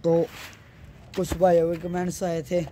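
A young man with a hoarse throat chatting casually as a vlogger, rambling loosely as he shows things around an outdoor rooftop while filming on a handheld phone. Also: a little high, monotone, measured pace, warm tone, a little hiss or hum. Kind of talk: casual vlog chat.

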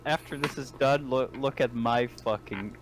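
A man's voice: mid-range voice, normal speed, noisy background. A man chatting casually as a player in a tabletop game. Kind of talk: casual chat.

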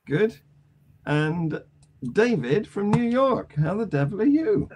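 A man's voice: high voice, big pitch swings, slow, a little mellow. An older man hosting a news panel discussion in a jaunty, wry manner. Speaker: panel show host. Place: home attic room on a video call.

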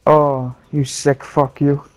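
A young man in his early twenties with a high-pitched voice trades loud, swearing banter with his squad during an online match. He teases his teammates constantly. Faint game music hums underneath. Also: flat delivery, takes it slowly, rough recording. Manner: teasing, loud, swears a lot. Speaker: player recording the game. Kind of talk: casual gaming banter.

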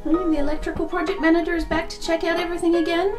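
A woman's voice: very high voice, fast. A woman in her thirties, congested from the flu, chatting casually as she explains wiring in a van she is converting, filmed up close on a handheld camera. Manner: chatty, self-taught, excitable. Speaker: van-build vlogger. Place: inside a van under construction. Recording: guitar music playing underneath.

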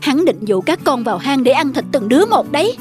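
Cartoon mother bird, protective and scolding, lively delivery over children's music. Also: high-pitched, fairly quick. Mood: scolding, concerned. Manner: scolding, instructive. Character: protective mother starling, female adult bird, sternly loving. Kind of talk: animated fable dubbing.

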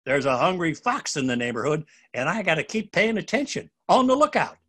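A man's voice: fairly high pitch, big pitch swings, a little fast, sharp tone, slightly rough, heavily acted. A man voicing an alert, friendly, folksy rabbit in a children's storybook. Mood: watchful.

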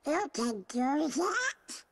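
A man voicing an excitable cartoon duck with a squawky, garbled voice in an animated game. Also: very high voice, very slow, slight background noise, exaggerated voice. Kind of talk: animated game cutscene acting. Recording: faint music behind the voice.

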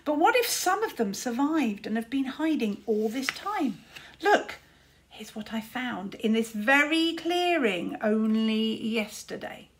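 Woman as a boastful, excitable boy wizard. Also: a little high, a little slow. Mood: insistent. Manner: emphatic, proud.

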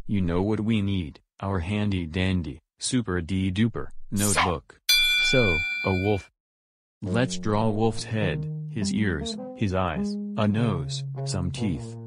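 A man voicing a big friendly purple cartoon dinosaur who guides young viewers with simple, encouraging words. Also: deep voice. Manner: slow, simple, encouraging, goofy hums. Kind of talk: children's show dialogue, guiding young viewers.

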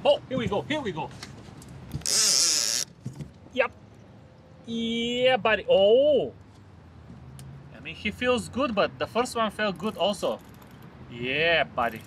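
A man's voice: high-pitched, very animated, slow pace, long pauses. A man on a small boat, cheering on the fish he hooks and talking to his viewers. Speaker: fishing vlogger. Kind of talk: excited commentary while fishing. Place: small fishing boat on a river, outdoors. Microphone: camera mounted on the boat. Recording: noisy outdoor boat recording. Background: traffic and outdoor noise.

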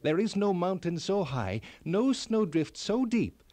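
A man's voice: sits high, sing-song, warm tone, no room sound, voice pushed up. Man voicing a tiny, lilting, commanding old elf. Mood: reassuring, instructive. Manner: measured, deliberate, solemn questioning. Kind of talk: children's fairy tale read aloud.

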